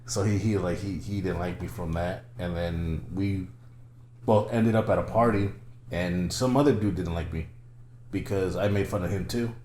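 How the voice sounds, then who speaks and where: A young man with a low voice tells loose, crude stories full of teasing and stumbling restarts. His voice sits in a roomy, echoey space with a faint hum behind it. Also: moderate pace, a little mellow. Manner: rambling storyteller, crude teasing humor, frequent stammered restarts. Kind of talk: casual chat. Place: room in Fresno.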